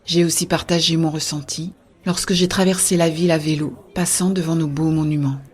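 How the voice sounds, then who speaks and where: A woman playing an earnest, idealistic young-hearted believer in a scripted science-fiction audio drama, with a husky, soft delivery. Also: deep voice, fairly even intonation, fairly quick, natural voice. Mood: earnest, persuasive. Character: Béa, earnest idealistic woman defending a shared-consciousness network. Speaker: voice actor narrating in first person.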